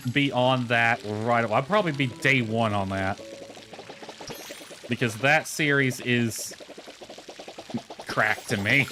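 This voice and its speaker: A man's voice: medium pitch, noisy background. A man giving casual, rambling livestream commentary as he plays a game, thinking aloud as he goes. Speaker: game streamer. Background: background music.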